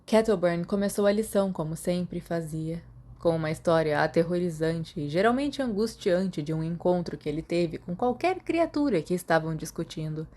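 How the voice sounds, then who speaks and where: A woman with a Brazilian accent, calmly reading a fan fiction audiobook aloud. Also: fairly low pitch, moderate pace. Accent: Brazilian Portuguese. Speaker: podfic reader.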